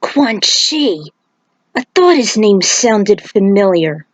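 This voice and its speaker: A woman's voice: mid-range voice, big pitch swings, slow pace, no echo. A woman voicing a solemn, vengeful male ninja warrior in formal story dialogue. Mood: grave, brooding.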